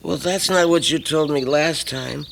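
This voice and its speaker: A man's voice: a little high, gravelly, a bit bright. An actor playing an unwell, patient and skeptical man with a cough, talking wryly in a radio drama. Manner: unhurried, wry, doubtful. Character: ailing, skeptical, patient male lodger with a bad cough. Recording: faintly noisy archival radio recording.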